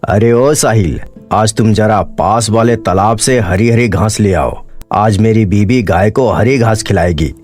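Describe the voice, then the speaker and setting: A man's voice: on the low side, unhurried, darkish tone, soft background music. A man voicing a heartless, bossy rich master with a commanding manner in a cartoon story. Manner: commanding, scolding.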